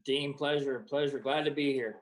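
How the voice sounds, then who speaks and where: Relaxed young soccer player, rambling. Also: male voice, medium pitch, moderate pace. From Croatia and the United States. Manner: relaxed, rambling with many fillers. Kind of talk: casual interview answers.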